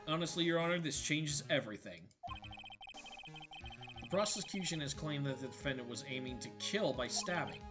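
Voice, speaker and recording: A man's voice: on the high side, animated, moderate pace, lightly acted. A man voicing an earnest young male defense lawyer, arguing point by point with urgency, over game music. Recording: game music playing behind.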